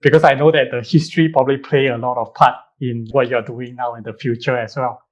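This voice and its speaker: A man's voice: medium pitch, fairly even intonation, fast talker, lo-fi sound. A man hosting a podcast, upbeat and chatty, asking long, rambling questions. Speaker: podcast host. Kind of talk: casual interview chat.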